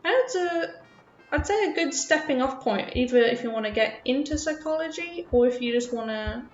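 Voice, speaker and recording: A woman's voice: high-pitched, expressive, normal speed, roomy sound, dull audio, soft background music. A woman from the UK, raised partly in Australia, chatting casually with viewers as a game streamer in a nervous, rambling way. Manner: nervous, rambling, self-conscious. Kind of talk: casual chat with viewers while playing.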